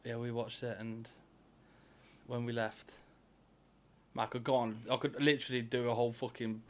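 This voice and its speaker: A young man from England, co-hosting a podcast in casual chat, fast, sweary and teasing. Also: mid-range voice, flat delivery, slight background noise. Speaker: podcast co-host.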